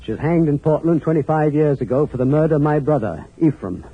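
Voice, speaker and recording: An actor playing a folksy but sinister New England hotel keeper with a clipped drawl, in a 1940s radio thriller style. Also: male voice, on the high side, lively intonation, fast, slightly rough. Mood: menacing, commanding. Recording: muffled old radio recording.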